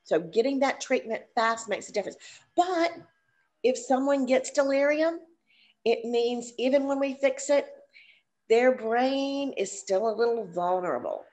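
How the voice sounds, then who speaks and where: An older woman with a drawl leads an online class from her living-room sofa, calling her listeners "y'all" and cheering them on. Her voice sits a little high and sounds stuffed up from a cold. It comes through a webcam on a video call. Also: darkish tone. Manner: chatty, encouraging, addresses audience as y'all. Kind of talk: live online teaching session.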